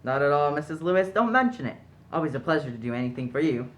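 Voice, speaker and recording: A foppish young society gentleman played by a man in a radio play. He is jolly, naive and eager to please, happy to poke fun at himself. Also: a little high, sing-song, fast. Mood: chatty, proud. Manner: jolly, foppish, self-deprecating. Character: genial, naive upper-class young gentleman suitor. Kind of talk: stage-style radio play acting.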